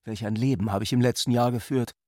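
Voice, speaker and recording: A man reading literary narration for a radio drama in a measured, articulate manner. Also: medium pitch, fairly even intonation, mellow, dry recording, clean studio sound.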